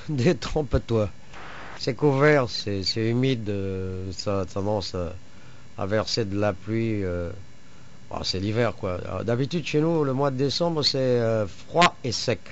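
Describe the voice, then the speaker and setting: A man with a fairly low voice talks casually as a radio amateur. He proceeds haltingly, often searching for his next word. The recording is a little dull, with faint noise behind him. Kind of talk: casual chat over radio.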